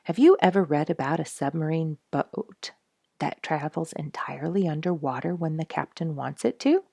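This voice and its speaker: A woman reading a children's story aloud as a storyteller, with expressive, dramatic emphasis and some hushed phrasing. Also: deep voice, normal speed, creaky, slightly rough, no room sound.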